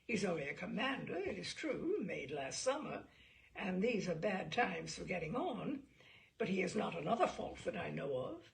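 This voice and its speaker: Lady doing a hearty old admiral guy from a storybook, rambly and blustery, creaky voice, swoops about a lot. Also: lowish voice, big pitch swings, normal speed, a little hiss or hum, heavily acted. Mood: hearty, assured. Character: bluff, hearty, chatty older male navy admiral. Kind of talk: audiobook character dialogue.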